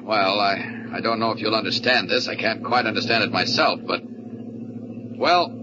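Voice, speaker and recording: A man's voice: lowish voice, moderate pace, lightly acted. A man playing an affable, bewildered baseball star in an old-time radio drama, hesitant, mild and polite. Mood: hesitant, confused. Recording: noisy, muffled old radio broadcast.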